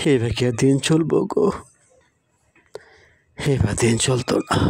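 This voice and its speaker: A man's voice: fairly high pitch, darkish tone. A man playing a poor, anxious village father in a cartoon moral story, pleading plaintively and repetitively in a rural Bangladeshi Bengali accent.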